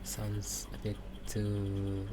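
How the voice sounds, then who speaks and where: A man giving casual live gameplay commentary, halting and thinking aloud as he plays. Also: lowish voice, monotone, background noise. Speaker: game streamer. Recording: loud game music behind voice. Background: game music.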